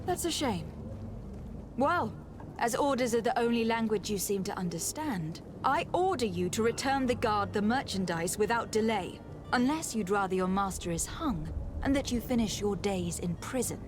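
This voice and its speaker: A woman's voice: medium pitch, expressive, moderate pace, lightly acted. An actress playing a poised, commanding noblewoman diplomat in a video game, speaking formally and articulately. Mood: stern, threatening. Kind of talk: scripted video game dialogue. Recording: music behind the voice.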